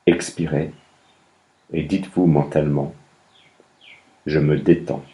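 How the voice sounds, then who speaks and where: A man guiding a meditation as a yoga nidra instructor, speaking calmly in measured instructions with long pauses between phrases. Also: extremely low pitch. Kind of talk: guided meditation, slow instructions.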